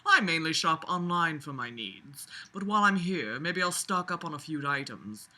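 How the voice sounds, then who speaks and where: A woman hosts a read-aloud with a very bright, forceful voice. She moves between the text and her own casual commentary, which is sarcastic, exasperated and freely foul-mouthed. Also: low-pitched, expressive, moderate pace. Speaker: host reading a novel aloud with commentary.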